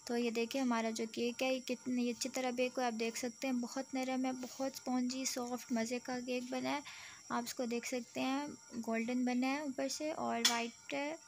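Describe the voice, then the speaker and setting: A woman hosting her cooking channel, narrating a home recipe step by step to viewers in a steady, explanatory way. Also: sits high, moderate pace, noisy background. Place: home kitchen.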